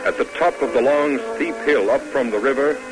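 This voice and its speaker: A man's voice: mid-range voice, brisk, rough recording. A man announcing and narrating a 1950s radio drama in a formal, solemn, declamatory style. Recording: vintage radio broadcast with music. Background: music.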